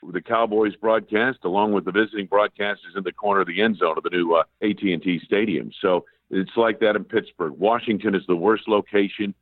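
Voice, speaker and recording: Male sports broadcaster, phone guest: low voice, relaxed chatty delivery, telephone-band audio. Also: fairly quick, few pauses, no room sound. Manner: conversational, anecdotal, many fillers. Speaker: radio play-by-play broadcaster, guest. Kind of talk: casual phone interview. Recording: tinny phone line.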